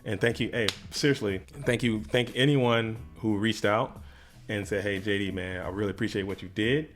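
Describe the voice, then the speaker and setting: Low, even-toned man speaking off the cuff. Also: moderate pace, soft background music. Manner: repetitive, circling phrasing with repeated restarts; informal, slangy address. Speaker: YouTuber making videos and interviews about a card game. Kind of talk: unscripted personal statement to community.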